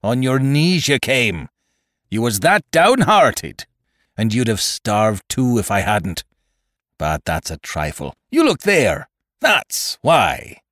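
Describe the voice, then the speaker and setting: A man voicing a cunning, domineering pirate sea-cook in an audiobook, with rough sailor dialect and a forceful, taunting manner. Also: mid-range voice, wildly swooping pitch, slow pace, dry recording, clean studio sound, full character voice. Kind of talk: audiobook character voice.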